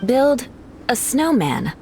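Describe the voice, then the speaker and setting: Aloof young knight lady, composed, formal, medium pitch, expressive intonation, faint ambient noise, video game. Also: takes it slowly, creaky, exaggerated voice. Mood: cool, taken aback. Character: poised, aloof young noblewoman knight, secretly soft.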